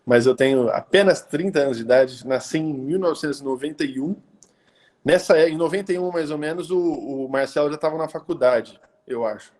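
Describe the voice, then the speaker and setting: A man's voice: fairly high pitch, brisk, a little mellow. A man in his thirties from the of São state from that region, giving a casual live-stream talk as a pastor. He speaks conversationally, with many hesitation fillers and tag questions. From Brazil, interior of São Paulo state. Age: 30s.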